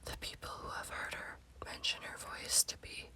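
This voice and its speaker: A woman's voice: moderate pace, pushed and bright. Whispering woman telling eerie ASMR stories. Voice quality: breathy, unvoiced whisper. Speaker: host and storyteller of a scary-story series. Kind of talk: whispered ASMR horror storytelling.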